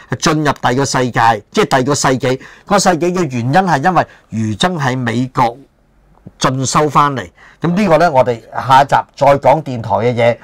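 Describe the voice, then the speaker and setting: A man's voice: mid-range voice, no echo. Older Hong Kong man hosting, dry sound. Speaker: talk show host. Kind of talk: casual chat, reminiscing. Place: small book-lined room, indoors.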